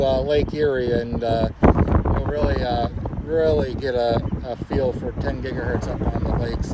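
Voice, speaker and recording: A man chatting casually and haltingly as an amateur radio operator, recorded outdoors. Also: medium pitch. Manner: hesitant, halting delivery. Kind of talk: casual radio contact chat. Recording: outdoor recording with clear background noise.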